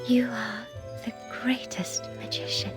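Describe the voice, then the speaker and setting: A woman voicing a gentle, frail, imaginative young girl in a video game, with soft, wondering speech. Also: fairly high pitch, sing-song, unhurried, loud music behind the voice. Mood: wistful wonder. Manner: soft, wondering, storybook-like phrasing.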